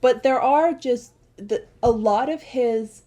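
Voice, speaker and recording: A young woman co-hosting a podcast, chatting casually with quick asides and self-corrections. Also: a little high, dark tone. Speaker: podcast co-host. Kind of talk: casual chat.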